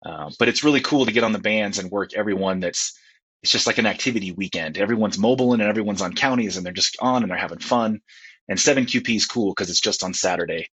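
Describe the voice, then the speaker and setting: A man's voice: fairly low pitch, fast, fairly bright tone, husky, no room sound. A man chatting casually as a panelist in a laid-back, colloquial way, heard through muffled lo-fi audio. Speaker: panelist on a ham radio discussion show. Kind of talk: casual chat.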